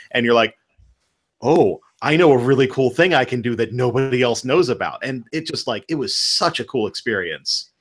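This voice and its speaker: A man's voice: medium pitch, fairly bright tone. Eager man explaining a game. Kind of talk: casual chat. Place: home room. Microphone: headphones with studio mic on a video call.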